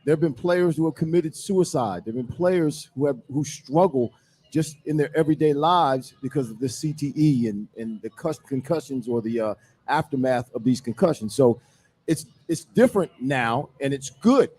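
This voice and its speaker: A man's voice: mid-range voice, expressive, moderate pace, a little mellow, breathy edge. A middle-aged man, a former player on a sports talk panel, emphatic and repeating phrases for weight.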